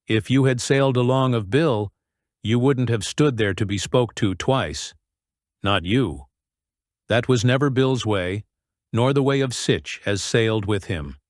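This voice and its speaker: A man voicing a fawning, sneering middle-aged pirate with a slow drawl, on a close, dry mic. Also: medium pitch, no echo, natural voice. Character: wheedling menacing middle-aged male pirate.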